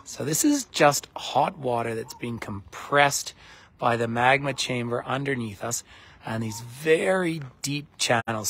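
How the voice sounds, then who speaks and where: A middle-aged man with a husky, croaky voice, nursing a cold. He talks loosely and chattily as a tour guide livestreaming outdoors on his phone, breaking off to greet viewers by name. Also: mid-range voice. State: has a cold. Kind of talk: live-streamed casual commentary to viewers. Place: outdoors at a geyser basin.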